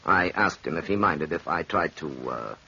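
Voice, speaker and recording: A man acting a smooth, calculating, ambitious gambler in an old-time radio drama, with a suave, theatrical delivery. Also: very deep voice, expressive, normal speed, pushed and bright, heavily acted. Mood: smooth, coaxing. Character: smooth, scheming gambler and ambitious male schemer. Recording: dull, noisy old radio recording.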